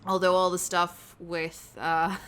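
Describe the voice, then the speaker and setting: A woman's voice: fairly low pitch, flat delivery, takes it slowly, fairly bright tone, slight background noise. A young woman with a Northern Irish accent chatting casually and unscripted as she answers questions, candid and blunt in an informal way. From Northern Ireland. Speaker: audio roleplay creator answering subscriber questions.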